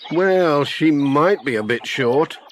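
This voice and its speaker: Man doing a jolly postman, hearty exclamations, lively bouncing voice, storybook. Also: a little high, slow pace, slight background noise. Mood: cheerful. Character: cheerful friendly village postman, man.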